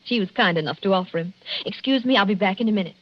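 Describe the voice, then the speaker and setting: A woman's voice: medium pitch, rapid-fire, natural voice. An actress playing a nervous but playful young bride, gracious and breezy over her jitters, in 1940s radio drama style. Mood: gracious, light. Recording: dull, noisy 1940s radio recording.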